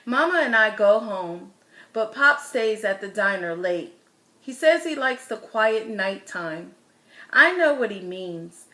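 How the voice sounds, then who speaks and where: A woman reading a children's picture book aloud as a storyteller, with expressive, deliberate phrasing and stressed words. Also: medium pitch.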